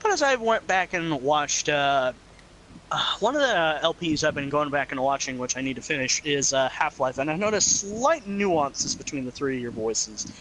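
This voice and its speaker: A young man with a bright, ringing voice that swings all over his range. He chats excitably over a game stream, swearing freely and jumping into goofy voices. His pitch sits a touch high for a man. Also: normal speed, a little hiss or hum, low-quality recording. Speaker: stream co-commentator. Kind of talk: casual gaming chat.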